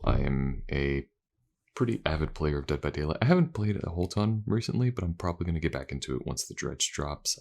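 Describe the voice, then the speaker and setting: Man, low voice, gravelly edge, casual channel host, chatty and rambling. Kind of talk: casual outro to listeners.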